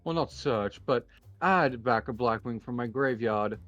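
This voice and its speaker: A man playing a confident, composed card duelist in a Minecraft anime roleplay, announcing moves in a measured, deliberate way over music. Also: mid-range voice, fairly bright tone, dry recording, highs cut off, natural voice. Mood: assured. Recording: music behind the voice.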